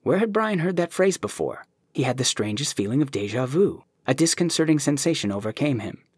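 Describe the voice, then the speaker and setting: A man reading a novel aloud in an even storytelling manner, his voice husky and breathy. The sound is close and dry, with no room around it. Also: mid-range voice, a little fast, no echo. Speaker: narrator. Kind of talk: audiobook narration.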